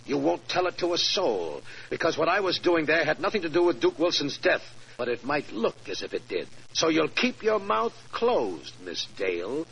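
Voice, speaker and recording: A brusque, menacing middle-aged boss in a 1940s radio drama. His voice is gravelly, heard through the noise of an old recording. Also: fairly high pitch, sing-song, moderate pace, a bit bright, heavily acted, low-quality recording. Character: curt, threatening older male company boss.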